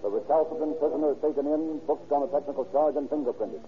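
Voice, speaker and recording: A man narrating and announcing in formal, declamatory 1930s radio style, with a rough, grainy voice. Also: a little high, fast talker, music in the background. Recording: muffled vintage 1930s radio recording.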